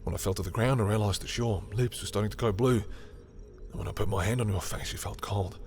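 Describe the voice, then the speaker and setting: Male actor as proud villain with powers, lowish voice, guarded and secretly smitten, intense halting confessional delivery for romantic audio drama, music underneath. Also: darkish tone, close to an everyday voice. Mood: anguished, confessional. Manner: intense, halting confession, swearing, sometimes dropping to whispers. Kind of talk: dramatic audio roleplay monologue addressed to the listener. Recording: music behind the voice.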